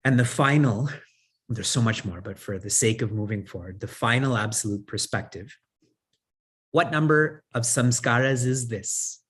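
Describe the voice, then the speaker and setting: A spiritual teacher gives a discourse in a husky voice of middle pitch. He puts questions to his listeners and answers them himself, and he often chuckles between points. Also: normal speed, a bit bright. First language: English. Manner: chuckles often while talking.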